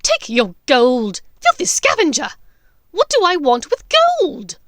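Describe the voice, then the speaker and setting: A woman voicing a maniacal, imperious dark witch in an audiobook, theatrical, contemptuous and explosive. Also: high-pitched, wildly swooping pitch, measured pace, dry recording, clean studio sound, pitched above the performer's own voice, full character voice. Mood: sneering fury. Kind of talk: dramatic audiobook character voice.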